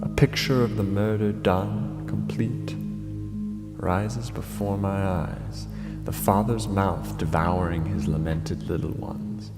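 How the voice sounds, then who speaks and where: An actor playing a vengeful king tormented by rage in a classical tragedy, declaiming gravely and theatrically. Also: sits low, fairly even intonation, slow. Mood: brooding, vengeful. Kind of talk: classical tragedy monologue, declaimed audio drama. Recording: long hall reverb, music underneath. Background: dramatic music.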